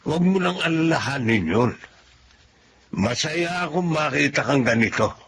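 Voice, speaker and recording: Kindly elderly Filipino grandfather, radio drama: cheerful, encouraging, creaky, lively pitch. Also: moderate pace, background noise. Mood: surprised, glad.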